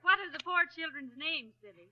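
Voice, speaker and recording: A sensible wife who teases her husband's schemes in a high, bright voice. The sound is a dull 1930s radio broadcast, a little away from the mic. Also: a little fast. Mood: teasing. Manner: doubting, teasing. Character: sensible, teasing wife, middle-aged. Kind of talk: 1930s live radio comedy.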